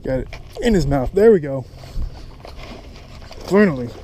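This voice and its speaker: A man's voice: a little high, animated, measured pace, mellow, low-quality recording. A man giving casual running commentary while fishing, muttering and cursing mildly at the fish, recorded outdoors on a head-mounted camera. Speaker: fishing vlogger. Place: outdoors on a creek and lake bank. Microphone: head-mounted action camera. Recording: outdoor wind and water noise.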